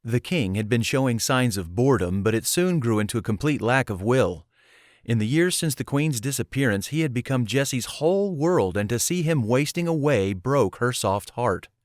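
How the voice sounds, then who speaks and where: A man's voice: medium pitch, expressive, normal speed, no echo. Man narrating a storybook, very dry recording. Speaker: audiobook narrator and author.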